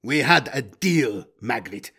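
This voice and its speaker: A man's voice: medium pitch, lively intonation, slow, vocal fry, sharp tone, slightly rough, full character voice. A man voicing a fierce, sly male hunter who talks in taunts and threats, in an audiobook story. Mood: threatening.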